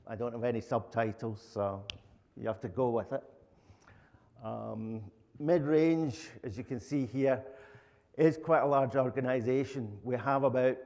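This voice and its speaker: A middle-aged Scottish man from Glasgow speaks with a Glaswegian accent at a medium pitch. He presents in an informal, plain-spoken way as a conference speaker. His voice rings with the long reverb of a hall. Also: a little mellow. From Glasgow, Scotland. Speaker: conference speaker, lead software architect. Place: conference room, indoors.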